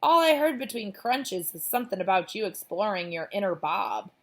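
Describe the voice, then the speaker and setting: A young girl from a children's story, acted out by a woman reader, speaks casually and a little higher than an adult narrator. She is loyal and teasing, with a breezy kid way of putting things. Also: expressive, normal speed, a bit bright.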